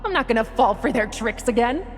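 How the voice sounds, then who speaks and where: Animated, high-voiced cynical woman. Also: fairly quick, in a large hall, faint music behind. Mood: scornful, intrigued. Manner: animated, dramatic. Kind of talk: video game cutscene acting.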